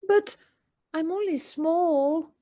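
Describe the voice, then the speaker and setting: A woman's voice: very high voice, slow, mellow, no echo, studio quality. A woman playing a timid, gloomy, self-doubting little mole in an amateur audio play, speaking in a meek, hesitant manner.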